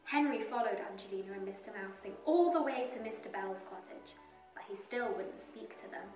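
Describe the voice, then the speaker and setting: A woman reading a children's story aloud as storyteller, expressive and deliberate, with drawn-out emphasis. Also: medium pitch, far from the mic. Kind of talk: scripted storybook narration for young children. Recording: roomy echo, music behind. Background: soft music.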